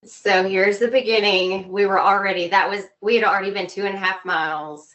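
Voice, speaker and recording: A woman guest on a video call, chatting informally and telling anecdotes in an animated way. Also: medium pitch, fairly quick, muffled recording. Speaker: podcast guest. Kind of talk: casual chat, telling travel anecdotes.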